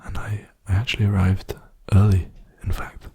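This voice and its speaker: A young man speaking softly in a hushed, breathy, soothing whisper, rambling casually for an ASMR video. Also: deep voice, completely flat delivery, moderate pace. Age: young adult.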